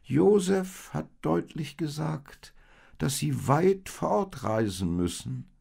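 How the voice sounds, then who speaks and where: The wise oldest sheep played by a man speaks slowly and calmly, reassuring the younger animals. The voice sits well above his own, with a husky, gravelly grain. It sounds like a storybook read aloud. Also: on the high side, pitched above the performer's own voice, heavily acted. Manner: slow and reassuring. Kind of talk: storybook reading.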